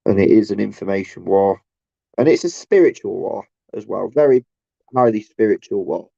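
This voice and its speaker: A man with a croaky, tired voice and a sore throat from a cold, rambling casually and haltingly as a solo video host, repeating himself and swearing in exasperation. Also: on the low side, lively intonation, mellow, no echo. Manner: halting, repetitive, searching for words. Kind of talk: casual rambling monologue to camera. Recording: dull, lo-fi sound.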